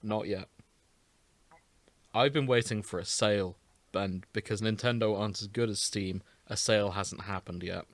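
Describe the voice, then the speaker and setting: A man's voice: lowish voice, moderate pace, no room sound. A British man hosting a podcast in casual, jokey chat with his co-hosts, sometimes with theatrical emphasis. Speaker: podcast host.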